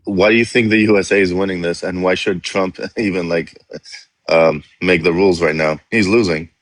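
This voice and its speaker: A man from Iraq debating politics on a live stream, his voice sitting very low. He argues hard, keeps talking over the other side and breaks into short laughs as he makes his points. The sound is dry and close, with no room around it. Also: fairly even intonation, normal speed, no echo. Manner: argumentative, talks over the host, laughs mid-sentence.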